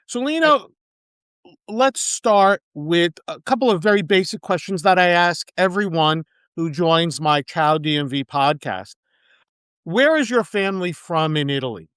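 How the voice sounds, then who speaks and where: A man hosts an interview podcast with a smooth, resonant voice that sits a bit high. He delivers in a measured, formal manner. The sound is close and dry, with no room around it. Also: animated, fairly bright tone, dry recording. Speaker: podcast host. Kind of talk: scripted intro then interview.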